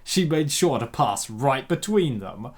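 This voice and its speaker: A man's voice: mid-range voice, animated, measured pace, slightly rough. A man narrating a comic dub and breaking into slangy, jokey casual commentary. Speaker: host and narrator.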